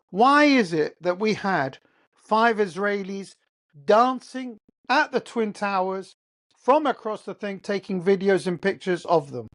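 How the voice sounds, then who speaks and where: A man with an English accent, hosting a heated solo monologue, blunt and profane, firing off rhetorical questions. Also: high voice. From England. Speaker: host. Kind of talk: ranting monologue.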